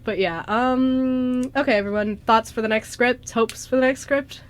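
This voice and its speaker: A young woman hosting a radio show in casual, excited group chat, often acting out quotes and saying "like". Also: a little high, normal speed. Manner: animated quoting of characters, frequent 'like'. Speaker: radio show host and scriptwriter. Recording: noisy amateur radio show recording.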